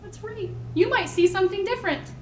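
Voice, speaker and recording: A high-pitched American woman speaks in an echoey room with faint background noise. She leads toddler storytime, addressing small children in simple, encouraging phrases and questions. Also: fairly quick, far from the mic. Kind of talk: picture-book reading and sing-along for toddlers.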